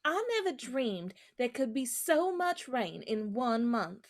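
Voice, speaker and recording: An actress playing a prim, bossy young daughter in a radio play. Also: female voice, fairly high pitch, sing-song, slow, slight background noise, full character voice. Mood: pleased.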